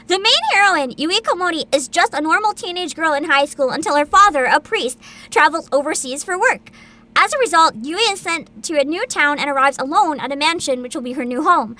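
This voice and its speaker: A woman streamer in casual livestream chat, excitable and giggly, rambling with frequent laughter. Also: sits high, moderate pace. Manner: reads a plot description aloud with asides. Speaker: VTuber streamer.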